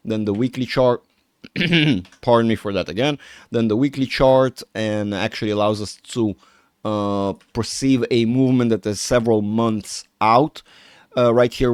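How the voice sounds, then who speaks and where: A man giving a measured technical market analysis over charts, with frequent fillers and restarts. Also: on the low side, darkish tone, smooth, dry recording, clean studio sound.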